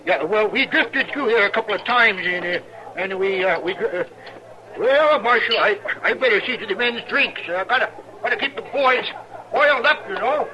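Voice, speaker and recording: An actor playing a sly, excitable American drifter who stammers evasively in an old-time radio western. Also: male voice, high voice, moderate pace, lightly acted, rough recording. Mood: nervous, evasive. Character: sly, nervous, boisterous drifter hosting a wake. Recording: old radio recording, crowd behind.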